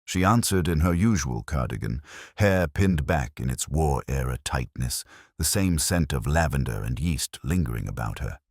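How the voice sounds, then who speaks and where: A man narrating a mystery story in a slow, hushed, deliberate way. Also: a bass voice, lively intonation, husky, no room sound, clean studio sound.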